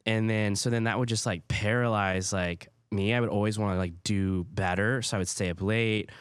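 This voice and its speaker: A young man talks candidly on a podcast. His voice sits very low, and he keeps dropping "like" between thoughts as he tells personal stories. The close, dry mic leaves no room sound. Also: fairly even intonation, normal speed, clear, resonant tone, no room sound. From Hawaii. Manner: candid, filler-heavy storytelling with frequent "like". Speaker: podcast co-host. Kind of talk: casual chat.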